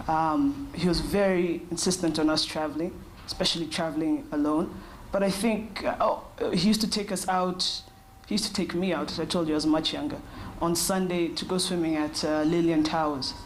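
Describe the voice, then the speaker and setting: A woman, thoughtfully answering interview questions in a measured way with short pauses. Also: low voice, fairly even intonation, a little hiss or hum, low-quality recording. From Kenya. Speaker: interview guest.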